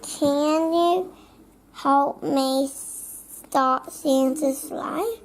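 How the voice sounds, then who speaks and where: A young child reads a storybook aloud in a high voice. The pace is very slow, with halting phrases that sometimes get repeated as the words are worked out. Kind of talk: read-aloud of a children's picture book.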